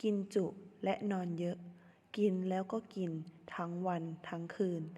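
Husky young woman telling a children's story. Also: fairly low pitch, fairly even intonation, dark tone, big-room sound. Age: young adult. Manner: slow, simple storybook phrasing. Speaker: student storyteller.

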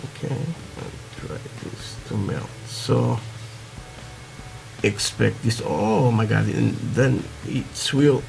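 A man from Portugal with a Portuguese accent, chatting haltingly through a hobby tutorial with many fillers, while building at a workbench. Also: fairly low pitch, vocal fry, a little mellow, faint music behind, rough recording. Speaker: scale model builder. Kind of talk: casual hobby tutorial talk. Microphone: camera over work desk, hands only.

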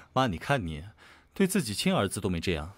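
A young man acting a cocky, teasing, hot-tempered high school boy in an audio drama, expressive and easily exasperated. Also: moderate pace, dry recording. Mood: teasing, grumbling. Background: background music.

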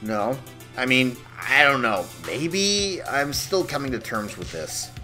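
A man playing an anxious young man in an animated dark comedy, confiding in a wry, hesitant, exasperated manner. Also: medium pitch, slow pace, faint music behind, full character voice. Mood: defensive. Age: young adult.

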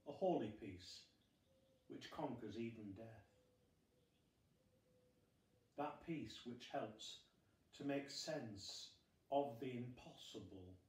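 Slow-spoken man preaching in a roomy space. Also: medium pitch, a little mellow. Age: middle-aged. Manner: measured, deliberate, with pauses. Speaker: school chaplain. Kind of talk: short sermon read from notes. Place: small glazed conservatory at home. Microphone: phone camera a few metres away. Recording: roomy, distant phone recording.

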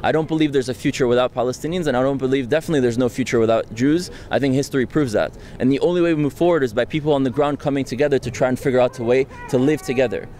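A young man from France giving a street interview outdoors on a campus, talking fast and insistently into a handheld mic. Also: mid-range voice, a little mellow, a little hiss or hum. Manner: fast, insistent, argumentative, frequent tag "right?". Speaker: interviewee, student activist. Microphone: handheld mic held close by interviewer.

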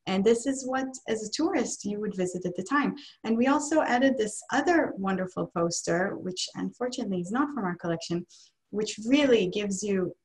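A woman in her thirties, a native Hebrew speaker, speaking as a museum curator on a video call, with frequent pauses and restarts. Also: mid-range voice, lively intonation, low-quality recording. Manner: hesitant, many restarts. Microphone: laptop mic on video call.

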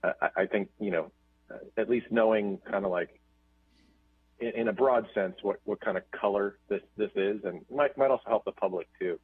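Male board chair, very low voice, dry close sound, halting. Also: husky, no room sound. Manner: rambling, repeats words. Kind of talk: chairing a public meeting. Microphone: video call. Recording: tinny phone-like call audio.

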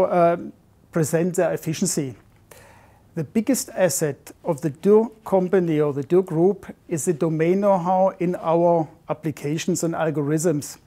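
A man in his fifties answering interview questions in a measured, slightly formal way, recorded on a lapel mic in an office. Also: high-pitched, mellow. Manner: measured, slightly formal, non-native phrasing. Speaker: software development manager. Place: modern office.

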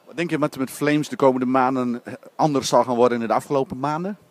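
Male sports interviewer asking quick questions. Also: mid-range voice, fairly even intonation. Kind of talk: post-game interview questions.

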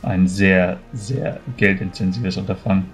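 A man's voice: low voice, fairly even intonation, a little slow. A man playing an earnest, experienced noble adventurer in a tabletop roleplay, speaking formally and with measure. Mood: earnest, measured. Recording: music behind.

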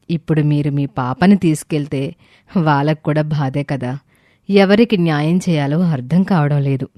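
A cautious, compassionate orphanage director, acted by a woman in an audio moral story. She is measured and polite, with a soft-toned, mellow voice and a dry recording. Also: sits low, no echo, voice pushed down, natural voice. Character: cautious, questioning, compassionate female orphanage head.

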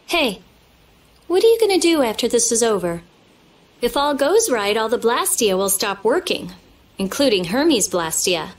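A woman's voice: fairly high pitch, sing-song. A woman voicing a prickly but secretly affectionate teenage girl researcher in a video game, blunt and awkward. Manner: blunt, halting when embarrassed. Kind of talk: video game cutscene acting.

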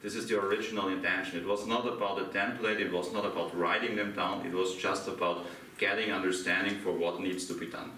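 Austrian-accented man, deep, bright in a roomy hall. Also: steady tone, fairly quick, hardly any pauses. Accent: Austrian German. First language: German. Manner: asks the audience questions, laughs lightly. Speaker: conference speaker. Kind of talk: interactive conference talk. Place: dim hotel conference room, small audience. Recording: echoey room from far off, faint hiss.

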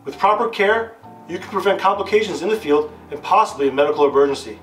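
Man playing a higher-pitched American army captain instructor. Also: expressive, fast, fairly bright tone, poor audio. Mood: encouraging, assured. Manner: formal, didactic, stilted. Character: upbeat, authoritative male army captain instructing troopers. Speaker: instructor in a military training film. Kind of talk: scripted retro instructional film delivery. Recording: music under the voice. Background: background guitar music.

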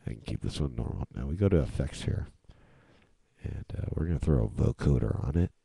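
A man presenting an informal software walkthrough, playfully chanting and repeating words as he goes. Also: rumbling low, animated, brisk, gravelly, breathy edge, no room sound, clean studio sound. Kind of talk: informal screen-recorded walkthrough.

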